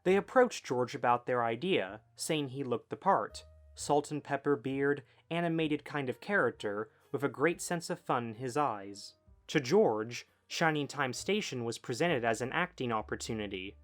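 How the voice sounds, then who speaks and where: A man's voice: medium pitch, lively intonation, normal speed, a bit bright. A man reading scripted documentary narration as a video essay narrator. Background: background music.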